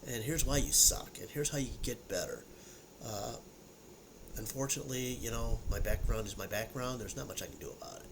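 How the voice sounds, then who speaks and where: A man's voice: mid-range voice, brisk, noisy background. A man in his sixties with a congested, sniffly voice, chatting loosely at home about whiskey with lots of fillers and repeated words. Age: 60s. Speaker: whiskey review vlogger. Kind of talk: casual solo tasting chat.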